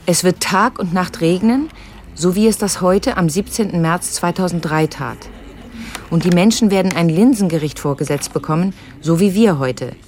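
An actress playing an insistent, probing adult daughter with a pointed manner in a naturalistic radio drama. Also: female voice, on the low side, normal speed, a little mellow, a little hiss or hum, close to an everyday voice. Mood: earnest, insistent. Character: insistent, accusing grown daughter digging up family past.

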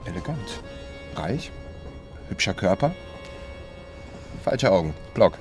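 A man's voice: sits low, sing-song, slow, heavily acted. An actor playing an easygoing, merry young Serbian man with a drowsy, amused manner in a radio crime play. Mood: dry, sleepy. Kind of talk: German radio crime drama acting. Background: music.

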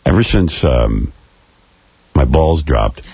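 A male radio host with a deep voice, trading teasing, mock-bossy banter. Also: animated, slow, vocal fry, slightly rough, dry recording. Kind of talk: joking talk radio banter. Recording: dull lo-fi radio recording.

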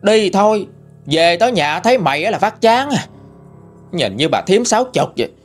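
A man's voice: high voice, big pitch swings, normal speed, soft background music, voice pushed up. A man voicing a contemptuous young husband who berates his wife in a sneering manner.

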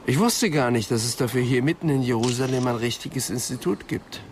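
A sceptical, flippant male detective's sidekick, played by an actor, in a medium register. He talks with teasing sarcasm, as in a radio crime play, over clear car and city noise. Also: medium pitch, brisk, close to an everyday voice. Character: flippant, sceptical male police assistant, scornful of psychoanalysts. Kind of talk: German radio crime drama acting.

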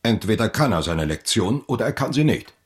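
Cold, lecturing stepfather, low and very lively in pitch, for a radio play. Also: fairly quick, slightly rough. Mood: stern. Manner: severe, lecturing, commanding. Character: stern, cold stepfather, middle-aged man.

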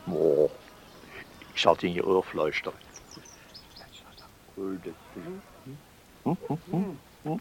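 An actor playing an old travelling peddler with a German accent, coaxing and effusive, in a radio drama. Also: male voice, sits low, big pitch swings, slow pace, long pauses, darkish tone, slight background noise, full character voice. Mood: sly coaxing. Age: older. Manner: theatrical, coaxing, murmuring hums and exclamations.

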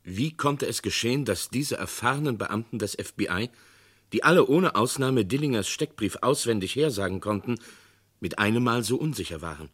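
Measured male radio-play narrator. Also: medium pitch, animated, a bit bright, husky. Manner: measured, dramatic storytelling.

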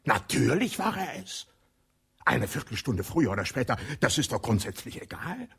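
A bumbling police inspector in a radio play stammers and scolds himself as he works through the case. His voice is very raspy, and his pitch jumps all over. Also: big pitch swings, normal speed, a bit bright, exaggerated voice. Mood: puzzling. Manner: stammering, frantic self-reproach. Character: bumbling self-berating Scotland Yard inspector. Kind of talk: 1960s radio drama acting.

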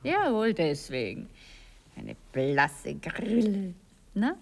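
An actress playing a vain, capricious stage diva in a 1960s radio play, teasing and condescending, often dropping to a breathy murmur. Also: female voice, lowish voice, wildly swooping pitch, slow pace, exaggerated voice. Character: capricious vain actress, teasing imperious seductress of her lover.

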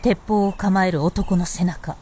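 A woman's voice: lowish voice, fairly even intonation, measured pace, a little hiss or hum. A woman narrating a radio drama as a scripted storyteller. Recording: loud music behind narration.

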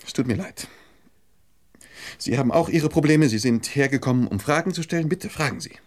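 An actor in a German radio drama playing a shy, heartbroken gentleman who speaks politely and haltingly, holding himself back. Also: medium pitch, lightly acted. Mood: restrained hurt.

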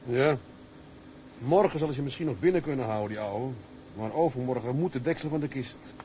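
An actor playing a steady, outspoken first mate of a seagoing tug, with a Dutch accent and a practical, matter-of-fact manner. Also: male voice, medium pitch, fairly quick, a little hiss or hum, natural voice. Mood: sober, reporting. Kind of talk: film drama acting.

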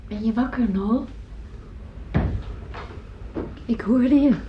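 An actress playing a caring, gently amused mother in a radio play, soothing and gently questioning. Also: a little high, moderate pace, noisy background, natural voice. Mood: concerned, soothing. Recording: dull lo-fi old radio recording.

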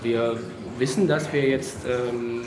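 A man's voice: medium pitch, steady tone, slow, reverberant, music in the background. A man talking casually as a guest, tentatively checking that he has understood. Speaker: hotel guest. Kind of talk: casual conversation.

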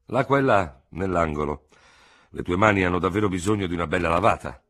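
A man's voice: sits low, a little fast. A man voicing a dull old army surgeon. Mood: dry, questioning. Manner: flat, dull, repeats his favorite word. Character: gruff, dry old army surgeon, male.

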